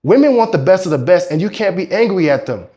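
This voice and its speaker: A man's voice: high voice, very animated, quick pace, dark tone. A man with a congested voice from a cold, coaching men in an emphatic, preachy talk that swears freely. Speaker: dating coach. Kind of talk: motivational talk to camera.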